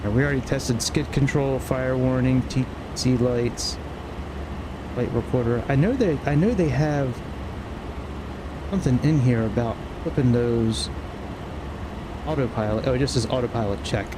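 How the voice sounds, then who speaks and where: A man's voice: medium pitch, normal speed, pauses often. A man streaming a flight simulator, casually thinking aloud through a checklist in short clipped phrases. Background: cockpit ambience and engine noise from flight simulator.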